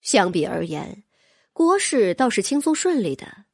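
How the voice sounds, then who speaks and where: Woman, audiobook storytelling, fantasy narration, husky tone, dry close sound. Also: mid-range voice, big pitch swings, a little slow, dry recording. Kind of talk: scripted fantasy novel narration.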